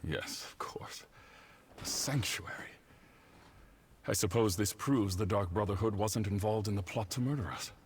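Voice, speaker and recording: An actor playing a weary, shaken councillor in a video game, speaking in a grave, measured, formal way with a husky voice. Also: male voice, fairly low pitch, slight background noise, close to an everyday voice. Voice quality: husky, rough voice. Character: weary, shaken male councillor betrayed by a colleague. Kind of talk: video game character dialogue.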